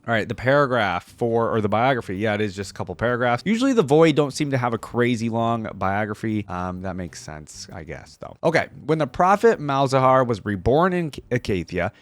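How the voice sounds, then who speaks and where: A man hosting a casual game reaction video, reading text aloud and thinking out loud in a chatty way with many fillers. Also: medium pitch, no echo.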